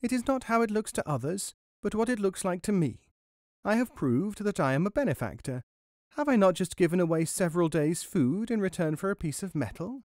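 A small, self-important mouse from a storybook, played by a male reader. The voice is lifted high and swings about with pompous indignation, declaiming every line as if to an inferior. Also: animated, a little fast, darkish tone, dry recording, studio quality. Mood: proud. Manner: pompous, declamatory, grandiose wording. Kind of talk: storybook character voice.

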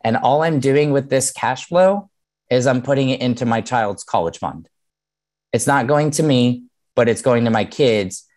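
A man's voice: mid-range voice, expressive, moderate pace, darkish tone. A man in his thirties talks through an online seminar from a home office, heard over a video call. His delivery is conversational and explanatory, with frequent "um" and "right?" as he checks in with the audience. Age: 30s. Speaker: mortgage lender teaching a webinar.

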